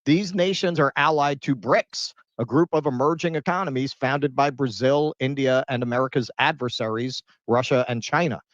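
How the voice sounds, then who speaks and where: A man runs through a news summary and slips in dry, mocking remarks. His voice is mid-pitched. The recording is dry and dull, with a lo-fi sound. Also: moderate pace, few pauses, no echo. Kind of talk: read news summary with sarcastic commentary.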